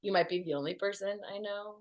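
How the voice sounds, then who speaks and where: A woman's voice: medium pitch, steady tone, fast, highs cut off, a crowd in the background. A woman hosting a casual interview, upbeat and playfully teasing her guest. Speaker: interview host.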